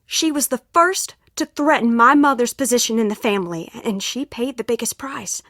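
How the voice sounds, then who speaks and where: A troubled young woman in a mystery game, with a fairly high voice. She is earnest and urgent, pleading as she confides. The sound is close and dry. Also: moderate pace, a bit bright, dry recording. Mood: grave confiding. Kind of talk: scripted game voice acting.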